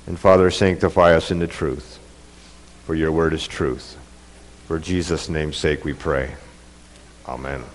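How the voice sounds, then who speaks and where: A man's voice: very deep voice, fairly even intonation, a little slow, pauses often, slight background noise. Congested preaching man. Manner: earnest, building in intensity. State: congested from a cold. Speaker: pastor. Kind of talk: sermon. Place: church.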